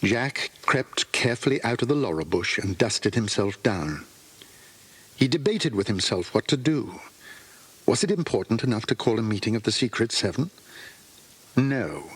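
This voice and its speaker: Animated, husky male storyteller. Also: lowish voice. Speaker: narrator. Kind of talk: scripted children's story narration.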